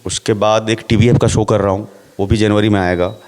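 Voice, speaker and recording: A middle-aged man, an actor, talking in a relaxed interview and laying out his points with rhetorical questions. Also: on the low side, brisk. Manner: explains at length with rhetorical questions.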